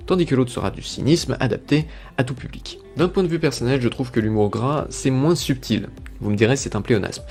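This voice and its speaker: A man's voice: medium pitch, a little fast, a little mellow, faint music behind. A man reading a scripted video review as narrator, with a wry, tongue-in-cheek manner.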